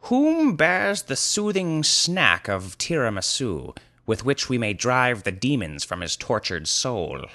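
A man's voice: mid-range voice, big pitch swings, takes it slowly, hardly any pauses, sharp tone, pitched above the performer's own voice, full character voice. A man voicing a pompous, grandiose old bearded wizard who declaims in mock-archaic speech for a comic fantasy story. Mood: solemn.

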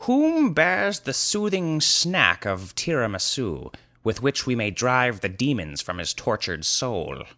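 A man's voice: mid-range voice, very animated, slow pace, few pauses, sharp tone, pitched above the performer's own voice, exaggerated voice. A man voicing a pompous old bearded sorcerer who declaims in archaic speech.

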